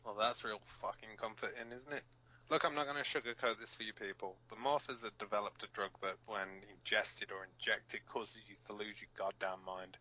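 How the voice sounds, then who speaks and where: A man's voice: fairly low pitch, steady tone, normal speed, pushed and bright, dull audio. A man playing a blunt, cynical resistance fighter who co-hosts an underground broadcast in an audio drama. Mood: bitter, angry. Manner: blunt, sardonic. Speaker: radio show co-host.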